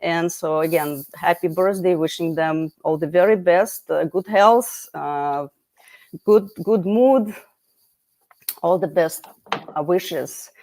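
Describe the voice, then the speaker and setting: A woman's voice: on the low side, takes it slowly, poor audio. Chatty woman hosting an online interview. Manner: warm, chatty, many fillers and restarts. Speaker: host.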